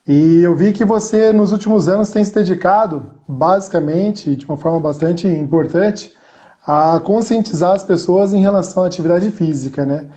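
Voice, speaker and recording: A man hosting a live video-call interview as a physical education teacher, with measured, formal phrasing. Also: high voice, warm tone.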